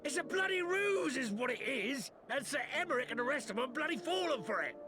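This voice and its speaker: A scornful, coarse-spoken British man in a fantasy game, angry and blunt. His high voice is gravelly and swings widely, with music playing behind it. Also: big pitch swings, moderate pace, full character voice. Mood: indignant. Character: angry, blunt commoner man opposed to peace. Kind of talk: video game voice acting.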